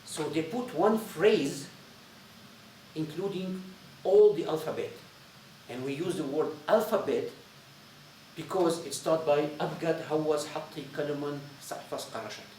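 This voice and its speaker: Male lecturer, Syrian accent, native Arabic speaker, halting informal delivery; roomy echo, faint noise. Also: fairly high pitch, a little mellow, far from the mic. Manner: halting, repetitive phrasing with searching pauses.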